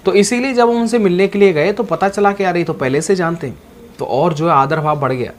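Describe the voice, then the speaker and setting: A man's voice: high voice, a little fast. Man, informal explainer, colloquial and repetitive, speaks straight to viewers, slight background noise. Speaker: exam-guidance presenter. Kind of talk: informal explainer with sponsor plug.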